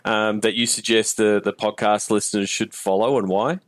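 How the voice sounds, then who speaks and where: A man's voice: lowish voice, little pitch movement, normal speed, dry recording. A man hosting a casual podcast interview, encouraging his guest and feeling his way through questions with repeated words.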